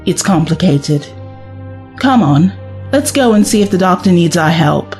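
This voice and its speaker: A woman's voice: fairly low pitch, expressive, measured pace, darkish tone. A woman playing a kind, reassuring young time-travelling companion in an audio drama. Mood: confiding. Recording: music under the voice.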